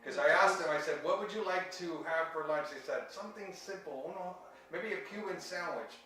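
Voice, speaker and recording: A man's voice: a little high, a little fast, sharp tone. Chatty male priest in a very echoey room. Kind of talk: casual conversational hosting. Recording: very echoey room, music behind.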